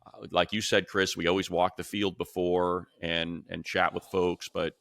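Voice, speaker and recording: A man's voice: low voice, flat delivery, normal speed, fairly bright tone, no echo, clean studio sound. An American man co-hosting a sports talk show, explaining things in a casual, conversational way. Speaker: sports talk show host and play-by-play announcer.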